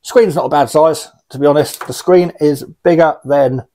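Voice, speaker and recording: A man's voice: fairly high pitch, normal speed, warm tone. British man, casual and wryly blunt. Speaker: radio hobbyist reviewing gear. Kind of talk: casual unscripted product review. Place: small indoor radio room.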